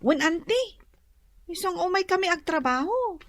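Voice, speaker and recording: A woman plays a polite young student niece in an Ilocano radio drama. Her voice is very high and swings in pitch, with an Ilocano accent and a dry, close sound. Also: animated, unhurried, dry recording. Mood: polite, pleading. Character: young nursing student niece doing cleaning work, polite.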